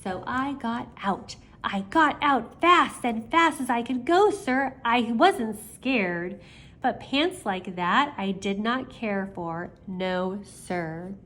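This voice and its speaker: An older woman with a fairly high voice reads a children's storybook aloud. She takes her time, speaking expressively and turning to chat directly with her young listeners. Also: lively intonation, slow, sharp tone. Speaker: grandmother reading stories aloud to children. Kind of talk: read-aloud children's storybook with chatty asides.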